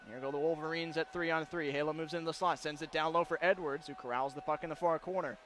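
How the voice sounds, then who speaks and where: Male hockey play-by-play announcer, high register, crisp detailed calls, live broadcast with background arena noise. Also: fairly even intonation, fast talker, fairly bright tone. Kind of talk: live ice hockey commentary.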